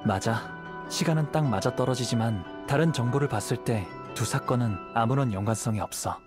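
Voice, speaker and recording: An actor playing a calm, thoughtful young wandering samurai in a video game, speaking in a measured, analytical way. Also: male voice, medium pitch, music in the background, natural voice.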